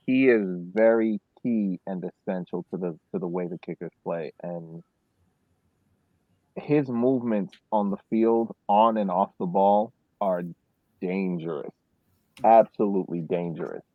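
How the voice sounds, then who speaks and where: A man on a thin, phone-like connection giving casual soccer analysis, deliberate and repeating words for emphasis. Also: sits low, no echo. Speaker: sports podcast co-host. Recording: thin, dry phone-like line.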